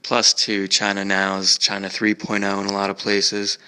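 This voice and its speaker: A man's voice: on the low side, monotone, moderate pace, sharp tone, clean tone, poor audio. An American man chatting casually as a travel vlogger in a museum park, rambling with many fillers and restarts.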